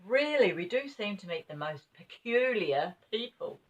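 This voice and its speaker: A woman's voice: mid-range voice, very animated, unhurried, muffled recording, pitched above the performer's own voice. A woman voicing an anxious young girl in a children's storybook, worried and given to exclaiming. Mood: bemused. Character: anxious young girl, easily frightened and puzzled.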